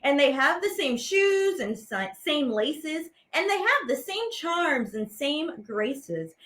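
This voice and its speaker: Expressive woman reading playfully, high and lively. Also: slight background noise. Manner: playful, theatrical storytelling with drawn-out words and sound effects. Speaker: teaching artist and program host. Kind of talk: expressive read-aloud of children's poems.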